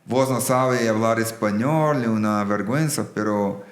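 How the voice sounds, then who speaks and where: Male guest, Brazilian accent, Portuguese native, lowish voice, explains earnestly in conversation, roomy sound. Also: a little slow. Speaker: business executive, podcast guest. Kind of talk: conversational interview. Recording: roomy echo.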